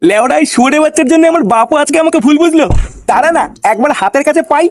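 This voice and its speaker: A man's voice: extremely high pitch, very fast. A man voicing an aggrieved, quarrelsome, foul-mouthed Bengali character in a comic cartoon, whiny and indignant.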